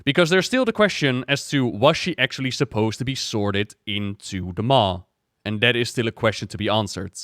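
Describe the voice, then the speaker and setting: A man's voice: medium pitch, moderate pace, dry recording. Dry-sounding man doing casual reaction commentary. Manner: animated retelling, acting out quotes, repeating words for emphasis. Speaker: gaming video host.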